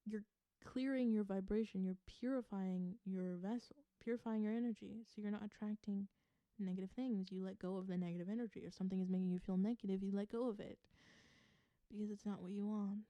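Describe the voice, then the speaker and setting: A woman from the American South giving an intuitive tarot reading to viewers. She gives earnest advice, repeats phrases for emphasis and laughs softly. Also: medium pitch, fairly even intonation, fairly quick, dry recording, muffled recording. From Southern United States. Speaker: tarot reader.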